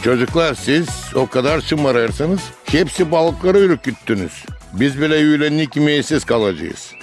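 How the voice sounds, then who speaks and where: An actor playing a patient grandfather in a cartoon, telling stories in a gentle, unhurried way. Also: on the high side, lively intonation, soft background music, lightly acted. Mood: warm. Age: older. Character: kindly grandfather figure, patient elder. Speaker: grandfather character. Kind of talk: cartoon voice acting.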